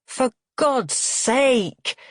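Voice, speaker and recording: Lady reading as angry fed-up guy, snappy n accusing, slightly husky, dry sound. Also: a little high, expressive, slow pace, no echo, voice pushed up. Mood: exploding. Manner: forceful, accusatory, weary. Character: angry, exasperated, snapping grown man, narrator's brother.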